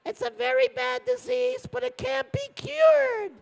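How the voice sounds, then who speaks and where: A man's voice: extremely high pitch, steady tone, measured pace, creaky, faint music behind, pitched above the performer's own voice, full character voice, poor audio. A man voicing a distraught, brusque crying mother in an oral story, wailing and impatient. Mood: despairing.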